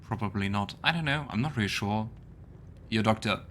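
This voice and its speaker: A man's voice: fairly low pitch, fairly quick, slight background noise. A man hosting a let's play, giving casual gaming commentary and thinking aloud in a conversational way. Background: loud game music.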